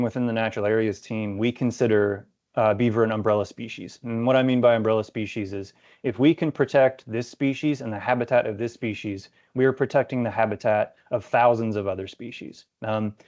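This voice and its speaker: A man giving an informative slide presentation in a measured, explanatory way, with a voice that is starting to go. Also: mid-range voice, darkish tone. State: losing his voice, clearing throat. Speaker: conservation staff presenter. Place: virtual summit video call. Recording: dull lo-fi online call audio.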